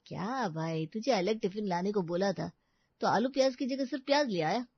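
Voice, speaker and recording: Woman doing a loud, teasing male office mate. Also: mid-range voice, lively intonation, moderate pace, slightly rough, dry recording, lo-fi sound. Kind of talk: Hindi animated family story.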